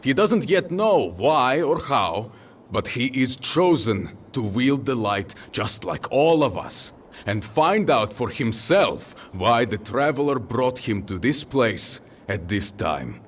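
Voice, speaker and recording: A man playing a noble, earnest warrior in a fan audio drama, speaking in measured, impassioned oratory. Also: medium pitch, sing-song, recorded from a distance, a little hiss or hum. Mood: rousing, resolute. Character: noble, earnest male warrior rallying his comrades with solemn conviction.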